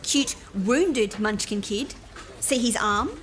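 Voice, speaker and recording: A woman's voice: medium pitch, slow pace, a little hiss or hum, lightly acted. A woman playing a concerned city official, earnest and pressing, in an audio drama. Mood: insistent.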